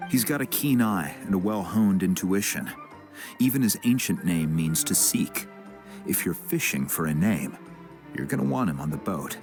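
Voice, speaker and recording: A man playing a calm, helpful tribesman in a video game, explaining things in a measured, reassuring way. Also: low-pitched. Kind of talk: English video game voice acting. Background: music.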